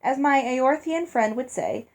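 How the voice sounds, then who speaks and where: A woman's voice: high voice, a little slow. A woman reading the storybook letter of a polite, curious young girl. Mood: playful, coaxing. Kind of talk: storybook letter read aloud.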